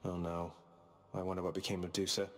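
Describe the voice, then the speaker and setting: An actor playing a dry, sardonic young underworld prince in a video game, understated and wry. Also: male voice, low-pitched, little pitch movement, a little fast, a bit bright. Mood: friendly. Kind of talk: video game character acting.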